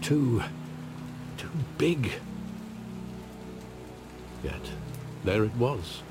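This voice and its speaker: An actor playing an elderly, loyal and remorseful butler, speaking formally and earnestly in a dramatic video game. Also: male voice, mid-range voice, animated, very slow, noisy background. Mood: somber, reflective. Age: older.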